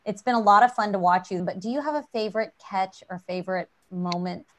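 A woman's voice: medium pitch, fairly quick. An American woman doing a casual interview, chatting informally and adding personal asides between her questions.

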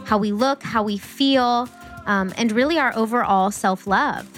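A woman's voice: a little high, unhurried, a bit bright, soft background music. A young woman co-hosting a casual podcast, chatty and laughing often. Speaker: podcast co-host. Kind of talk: casual chat. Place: near Cleveland, Ohio.

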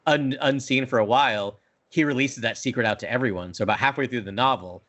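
A man's voice: mid-range voice, fast, a bit bright. A man co-hosting a casual podcast review, eager and often checking for agreement, on slightly muffled audio. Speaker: podcast co-host. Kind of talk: casual book review discussion. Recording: dull lo-fi audio.

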